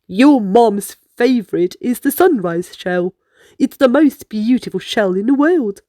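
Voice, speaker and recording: A woman puts on an easygoing, encouraging dad from a storybook. The voice is mellow and lowered, slow, with plenty of rise and fall. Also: expressive, dry recording, studio quality. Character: encouraging, easygoing father of two young boys.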